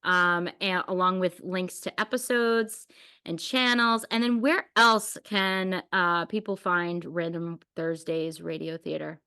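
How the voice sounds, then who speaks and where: A woman's voice: fairly low pitch, steady tone, slow, bright, forceful tone, clean tone. A woman hosting a podcast, chatting casually in an effusive, informal way. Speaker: podcast host.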